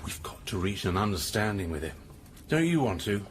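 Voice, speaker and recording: Give a drunken, mocking male scientist played by an actor in a radio play. His pitch swoops widely as he rambles and taunts theatrically, slurring, with faint noise behind him. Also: very animated, brisk. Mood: taunting, musing. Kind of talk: audio drama acting.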